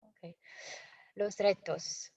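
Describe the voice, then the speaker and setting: A woman presenting slides in a webinar in a language that is not her native one, pausing often with fillers and restarting words. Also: mid-range voice, fairly even intonation, gravelly, mellow. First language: not Spanish. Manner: halting, frequent fillers and self-corrections.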